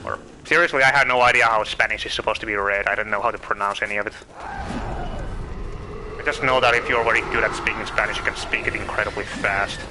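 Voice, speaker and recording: A man with a Finnish accent giving casual, chatty commentary while streaming a game, thinking out loud and asking his viewers questions. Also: on the low side, steady tone, a little fast, noisy background. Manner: chatty, thinks aloud, asks viewers questions, self-correcting. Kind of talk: casual live gameplay commentary.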